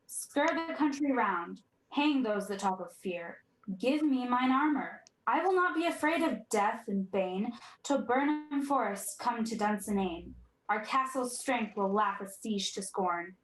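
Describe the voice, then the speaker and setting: A woman's voice: medium pitch, animated, a little slow, lightly acted. A young woman playing a defiant, imperious tyrant king in a radio Shakespeare play, declaiming verse in a commanding manner. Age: young adult. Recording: echoey room.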